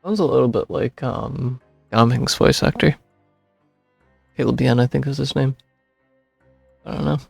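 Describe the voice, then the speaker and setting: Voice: mid-range voice, fairly even intonation, measured pace, gravelly, pauses often, slightly rough, no echo, soft background music. A streamer giving casual, off-the-cuff reaction commentary while playing a game, with hesitant asides. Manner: laughs often, hesitant asides.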